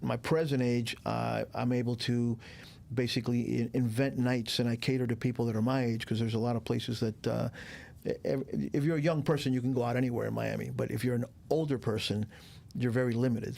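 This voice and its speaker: Gravelly Cuban-born man in his sixties. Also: medium pitch. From Cuba. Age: 60s. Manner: relaxed, rambling storyteller with many fillers. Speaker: guest, DJ. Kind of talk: casual interview chat.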